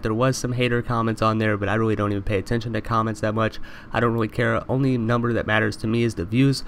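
A man's voice: medium pitch, a bit bright, slight background noise. A young man with a congested voice from allergies, talking straight to his viewers over game footage and calling them "you guys" again and again. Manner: repetitive, addresses viewers constantly as "you guys". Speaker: gaming YouTuber. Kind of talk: fast voice-over over gameplay.

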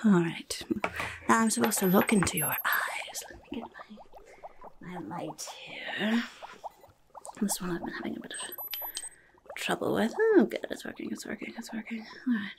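Creaky, whispery goblin nurse played by a woman. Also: mid-range voice, moderate pace, long pauses. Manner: muttering, repetitive, grudging. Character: rude, chaotic, impatient goblin clinic nurse. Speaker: ASMR roleplay performer. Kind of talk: ASMR roleplay, soft-spoken and whispered. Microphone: close microphone.

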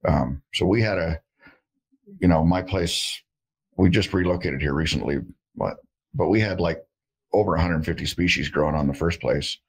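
Deep gravelly-voiced man, folksy and joking. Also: moderate pace, rough recording. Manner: folksy, joking, rambling with fillers. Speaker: herbalist guest. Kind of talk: casual interview talk. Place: indoor room with shelves of jars. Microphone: video call.

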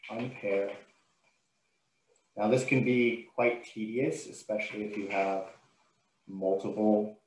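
A man with a fairly deep voice gives a software tutorial. He explains each step in an informal, instructional way. The sound is roomy, with a clear echo around his voice. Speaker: software trainer.

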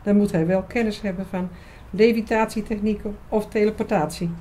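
An actress playing a frank, expressive young woman in an audio drama. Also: mid-range voice, brisk, lightly acted. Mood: lively, teasing. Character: lively, frank young woman in love with priest friend. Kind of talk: Dutch audio drama dialogue.

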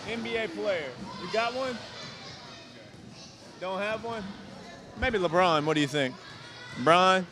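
A man's voice: high-pitched, a bit bright. A young man reporting from a gym, interviewing kids with a handheld mic in an upbeat, encouraging way. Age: young adult. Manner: upbeat, encouraging, repeats short affirmations. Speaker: TV sports reporter. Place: large indoor gym. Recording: noisy gym with bouncing balls.

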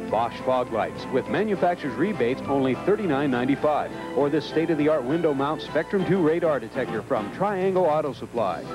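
A man's voice: mid-range voice, low-quality recording. A man reading scripted narration for a local television commercial. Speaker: commercial announcer. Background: music.

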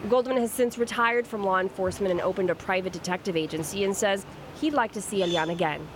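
A woman's voice: mid-range voice, fast, noisy background. A woman in her thirties, a TV news reporter reading scripted narration.

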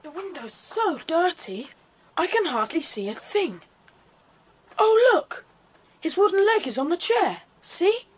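British schoolboy leader, high lively voice, keen and sensible, bit of tape noise. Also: female voice, unhurried, exaggerated voice. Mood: excited, awed. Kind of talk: children's audio drama acting. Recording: faint noisy 1990s cassette audio drama.

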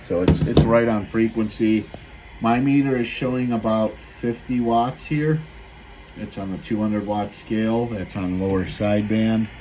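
An American man giving a casual, unscripted equipment demonstration in a laid-back, halting, matter-of-fact way, recorded indoors on a handheld camera mic. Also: on the low side, background noise. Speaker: seller demonstrating a restored CB radio. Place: indoors at a radio bench.

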